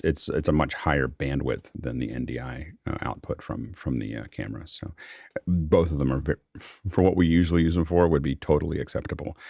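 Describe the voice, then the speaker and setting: A man's voice: rumbling low, a little mellow, dry recording, studio quality. A man hosting an online panel in a casual, off-the-cuff way, often repeating words and restarting sentences. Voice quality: gravelly, creaky. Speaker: host of an online panel discussion. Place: online video call, close dry sound.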